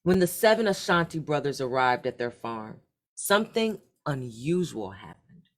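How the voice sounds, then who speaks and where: A woman narrating a children's folktale, reading aloud in an expressive, dramatic way. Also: a bass voice, moderate pace. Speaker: storyteller.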